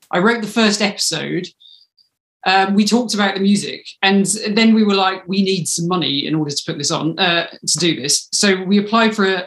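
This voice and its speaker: A woman in her thirties giving a casual interview in a chatty, animated storytelling way. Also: medium pitch. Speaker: podcast writer and director being interviewed. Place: small room at home, indoors. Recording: roomy, echoey home recording.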